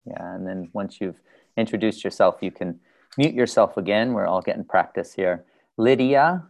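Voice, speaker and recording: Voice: low voice, normal speed, creaky, a little mellow. A host patiently guiding people through introductions on a video call in a casual, instructive way. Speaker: host of an online group call.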